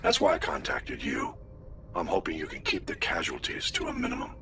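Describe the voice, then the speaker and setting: An actor playing a gruff, commanding older admiral in a video game, giving a formal, direct mission briefing. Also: male voice, deep voice, wildly swooping pitch, fairly quick, noisy background, full character voice. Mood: grave, confiding. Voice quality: gravelly.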